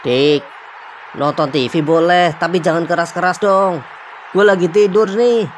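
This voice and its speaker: A man's voice: fairly high pitch, steady tone, a little slow, a little hiss or hum, natural voice. A man voicing a young, easygoing but jumpy hotel guest in a cartoon, speaking casual slang. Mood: annoyed, puzzled.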